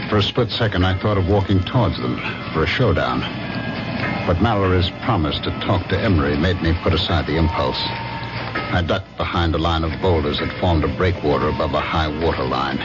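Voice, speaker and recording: Deep-voiced, brooding jealous husband. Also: male voice, normal speed. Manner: intense, dramatic first-person storytelling. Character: brooding, jealous husband recounting his crime. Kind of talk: 1970s radio mystery drama narration. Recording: dull old radio recording, loud music behind.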